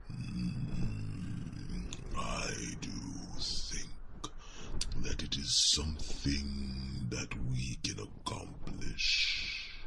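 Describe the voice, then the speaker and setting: A man voicing a gentle, wise fantasy companion in a slow, soothing ASMR roleplay, speaking in a breathy, gravelly half-whisper. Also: extremely low pitch, very noisy, full character voice. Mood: reassuring. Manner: soothing, measured, with thoughtful hums. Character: gentle, wise companion reassuring a young dragon.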